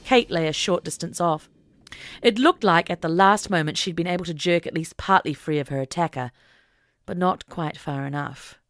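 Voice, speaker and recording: Low-voiced woman narrating a dramatic story. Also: normal speed, dry recording, studio quality, soft background music. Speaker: audiobook narrator.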